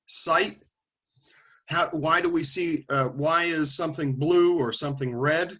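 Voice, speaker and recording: A man's voice: a little high, measured pace, sharp tone. A man lecturing a classroom of students, calling on them by name and thinking aloud as he explains. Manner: conversational, thinking aloud with restarts and asides.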